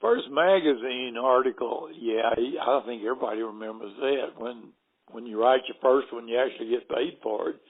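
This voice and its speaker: An older man with a Southern Alabama drawl spins hunting yarns in an easygoing, folksy way, chuckling as he goes. His voice sits at an ordinary pitch. The audio is narrow and phone-like. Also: moderate pace. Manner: folksy, chuckling between anecdotes. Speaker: guest. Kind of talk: casual storytelling chat.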